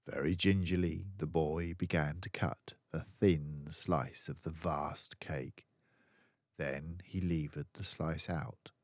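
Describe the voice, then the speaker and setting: Older guy reading a kids book, slow and lively, bit muffled and roomy. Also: very deep voice. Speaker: storyteller reading a children's book. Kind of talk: expressive read-aloud narration.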